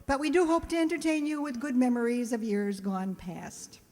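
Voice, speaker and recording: A woman's voice: on the high side, normal speed, darkish tone. An older woman giving a prepared introduction as the presenter of a radio players' show. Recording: long hall reverb.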